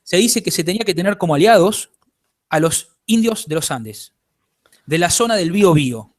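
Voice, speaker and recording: A man's voice: sits high, lively intonation, moderate pace. A man with an Argentine accent, a guest author in an interview, explaining at length and checking in with his listener. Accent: Argentine Spanish. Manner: explanatory, lecturing, checks in with "¿bien?".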